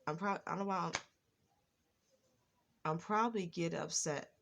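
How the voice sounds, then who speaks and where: A woman's voice: fairly low pitch, moderate pace, vocal fry, fairly bright tone. A woman vlogger with a stutter, telling a personal story and circling back over the same phrases again and again. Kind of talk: personal testimony vlog.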